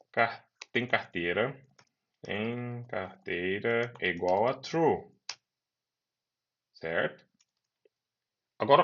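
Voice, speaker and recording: A man teaches programming step by step, repeating key terms so students follow. His voice sits somewhat low. The recording is dull and lo-fi. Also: a bit bright. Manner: talks students through code step by step, repeating key words. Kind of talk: screen-recorded coding lesson.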